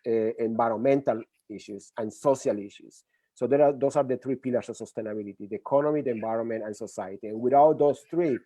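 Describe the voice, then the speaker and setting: Middle-aged Colombian man, Spanish accent, mellow dark tone, dry close sound, earnest interview guest. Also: mid-range voice, no echo. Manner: earnest, many restarts and fillers. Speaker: guest expert. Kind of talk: conversational interview.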